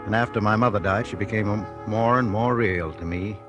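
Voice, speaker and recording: A man's voice: fairly low pitch, brisk, close to an everyday voice. Meek, nervous man acted in old radio drama. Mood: confiding narration. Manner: hesitant, stammering, flustered. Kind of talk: 1940s radio drama acting and narration. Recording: 1940s radio broadcast with music.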